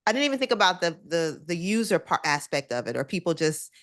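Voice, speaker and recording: A woman hosting an interview, asking eager, quick questions. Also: lowish voice, sing-song, no room sound, clean studio sound. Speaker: host. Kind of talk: interview and channel promo.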